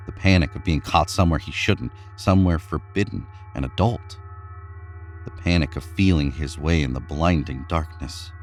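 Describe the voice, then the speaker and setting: Very deep-voiced man narrating horror. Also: soft background music. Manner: measured, suspenseful delivery. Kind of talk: scripted horror story narration.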